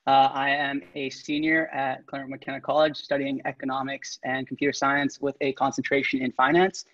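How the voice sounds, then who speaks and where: Even-toned young man, dull call audio. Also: medium pitch, fairly quick, no room sound. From Singapore and the San Francisco Bay Area. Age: early 20s. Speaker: student panelist. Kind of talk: casual self-introduction. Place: indoor room, video call. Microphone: video call microphone.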